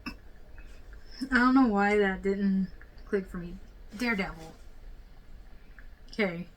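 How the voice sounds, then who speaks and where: A woman's voice: mid-range voice, slow pace. A woman giving casual reaction commentary, thinking aloud in a rambling, speculative way. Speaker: reaction video host. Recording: clearly audible background noise.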